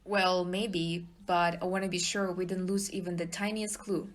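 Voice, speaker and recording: A woman playing an excitable, gruff police inspector in an audio play, blustering and commanding. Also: lowish voice, normal speed, natural voice. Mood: bossy, firm.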